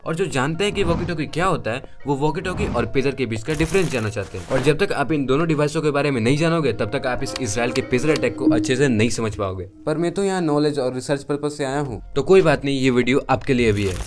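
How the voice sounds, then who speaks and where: A man's voice: medium pitch, expressive, quick pace, hardly any pauses, music in the background. An Indian man hosting an explainer, talking to viewers in a conversational, explanatory way and mixing in English technical terms. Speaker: host of an explainer video. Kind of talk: explainer narration addressed to viewers.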